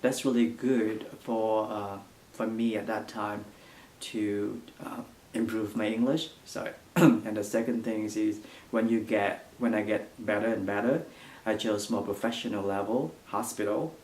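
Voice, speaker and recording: A young man from Vietnam with a Vietnamese accent, answering interview questions in halting English full of fillers. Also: on the low side, steady tone, darkish tone, slight background noise. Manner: halting non-native English with frequent fillers. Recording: echoey room.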